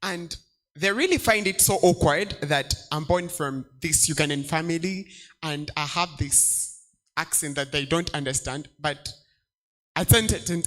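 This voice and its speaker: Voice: medium pitch, a bit bright. A young adult audience member asking a panelist a long question, hesitant and searching for words.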